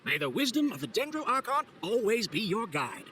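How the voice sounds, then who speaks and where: High-voiced, courteous city official. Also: male voice, sing-song, brisk, heavy background noise. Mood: formal, welcoming. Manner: formal, polite, explanatory. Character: courteous male city official welcoming newly arrived travelers. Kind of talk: scripted video game dialogue.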